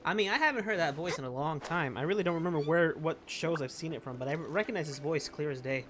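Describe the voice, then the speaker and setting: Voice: mid-range voice, quick pace, a bit bright. A game streamer of unclear sex chatting casually through a playthrough, rambling and reacting aloud. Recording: game music behind.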